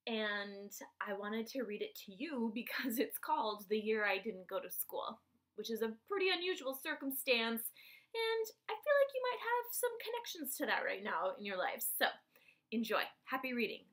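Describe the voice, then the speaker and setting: A woman reading a picture book aloud to children like a teacher, with expressive, dramatic emphasis on key phrases. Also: on the high side, normal speed.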